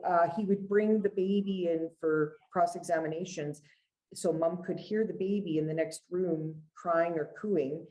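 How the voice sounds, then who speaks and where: Female family lawyer, Canadian, low register, relaxed warm tone, conversational interview storytelling. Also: dull audio.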